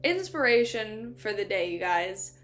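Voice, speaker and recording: A woman's voice: medium pitch, very animated, unhurried, a bit bright, soft background music. Chatty woman reading a novel aloud. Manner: chatty, laughs often, many "like" fillers; still stutters on some words. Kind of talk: casual chat between passages of a novel read aloud.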